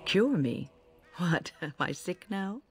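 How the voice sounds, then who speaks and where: Wise old lady from a fantasy game, gravelly n low, calm, measured, a bit wry. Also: heavily acted. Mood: gently wry. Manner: measured, philosophical, gently wry. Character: serene elderly female healer facing death, gently wry. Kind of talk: video game character dialogue. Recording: game audio with music behind.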